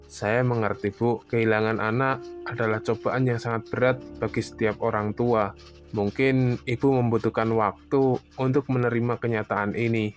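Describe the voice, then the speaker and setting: A man voicing a calm, sympathetic doctor with a formal, consoling manner in an animated drama. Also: mid-range voice, normal speed, soft background music, lightly acted. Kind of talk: animated cartoon drama dialogue.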